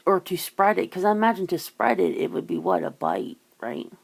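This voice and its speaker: A woman with a creaky, gravelly voice chatting casually in an ASMR style, musing and rambling as host. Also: lowish voice, huge pitch swings, fairly quick.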